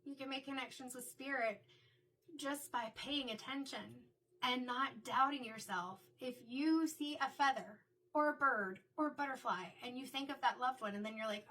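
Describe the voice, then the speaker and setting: A middle-aged woman, a card reader and medium, talking in a chatty, reflective way, her voice a little raspy from illness. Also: fairly high pitch, normal speed, fairly bright tone, recorded from a distance, poor audio. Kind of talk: casual spoken tarot reading intro.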